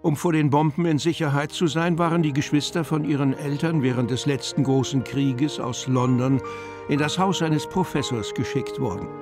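A man's voice: a little high, moderate pace, lightly acted. An actor as male storyteller over music. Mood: calm storytelling. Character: male storyteller narrating a children's fantasy tale. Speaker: narrator. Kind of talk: scripted storybook narration for a radio play.

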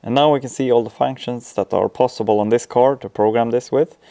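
A man in his thirties with a Swedish accent, giving an informal, halting software walkthrough full of ums. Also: mid-range voice, steady tone, creaky. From Sweden. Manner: hesitant, halting delivery with frequent ums. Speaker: tutorial presenter.

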